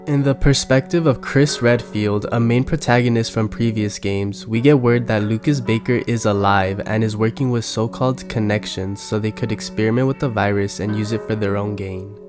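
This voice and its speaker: A man's voice: lowish voice, fairly even intonation, moderate pace, hardly any pauses. A man giving a scripted recap narration of a video game's story, as a lore narrator. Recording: music bed under the narration.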